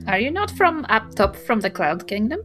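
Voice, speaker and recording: A New Zealand woman chats in character as a player in a tabletop game. Her voice is bright and forward, with faint music behind it. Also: fairly high pitch, very animated, normal speed. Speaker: role-playing game player. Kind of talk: casual in-character conversation.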